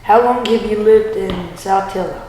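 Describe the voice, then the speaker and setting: Mellow young woman asking interview questions. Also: mid-range voice, fairly even intonation, normal speed, slight background noise, poor audio. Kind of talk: reading short prepared interview questions.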